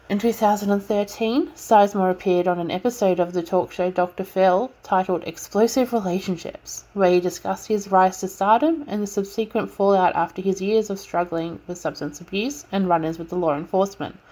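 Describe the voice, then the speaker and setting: A woman's voice: mid-range voice, poor audio. A woman podcast host with a stuffy nose reads a scripted rundown and sometimes stumbles over names. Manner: careful reading with occasional stumbles over names. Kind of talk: reading a scripted true-crime rundown.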